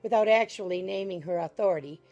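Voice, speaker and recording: A woman reads a classic novel aloud in a mellow, darker voice, with even and composed pacing. Gentle music plays faintly beneath the narration. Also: medium pitch, fast, muffled recording. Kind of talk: scripted audiobook narration.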